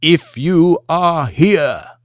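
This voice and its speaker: A man voicing a menacing, vengeful giant snake in an audiobook, speaking in an ominous, drawn-out manner with resonance. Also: animated, no echo, studio quality. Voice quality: deep, resonant. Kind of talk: audiobook character speech.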